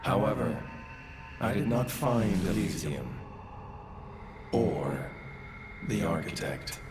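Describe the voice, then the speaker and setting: Low male voice, serene ancient high priest, formal, gracious, video game cutscene, long hall reverb. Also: lively intonation, slow pace, over music, full character voice. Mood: solemn. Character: serene, courteous male religious leader, ancient but youthful-looking.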